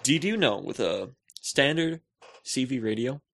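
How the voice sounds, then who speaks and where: A man's voice: mid-range voice, animated, unhurried, creaky, a bit bright. A man co-hosting a podcast, bantering casually with jokey, playful exaggeration. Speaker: podcast co-host.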